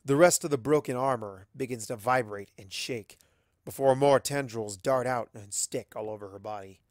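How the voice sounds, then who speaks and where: A man's voice: mid-range voice, moderate pace, dry recording, clean studio sound. A man reading a scripted story as an amateur narrator, in a dramatic storytelling style. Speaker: amateur fan fiction reader.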